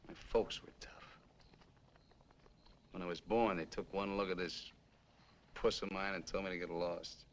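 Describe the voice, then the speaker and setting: An actor playing a bitter, menacing armed killer in 1950s film noir style, with an American accent and tough, slangy talk. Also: male voice, sits low, steady tone, unhurried, long pauses. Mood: bitter, boastful. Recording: noisy old film soundtrack.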